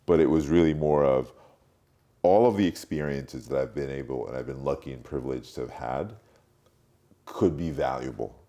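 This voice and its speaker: A man in his late forties who grew up in Europe, speaking reflectively as an interview guest, haltingly, thinking aloud and repeating words. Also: very deep voice, dark tone. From Europe, mostly France, born in Cameroon. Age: late 40s. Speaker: podcast guest, marketing strategist.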